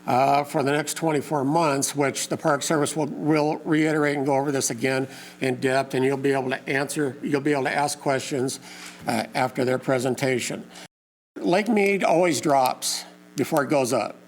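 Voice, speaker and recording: A man with a mid-pitched voice, hoarse and fading, gives an informal talk to a local crowd. He halts often with uh and um and keeps his wording homespun. A faint hum sits under the room sound. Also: fairly even intonation, low-quality recording. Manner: halting, many uh and um fillers, plain folksy wording. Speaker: community meeting organizer and lake info page host. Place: community meeting room.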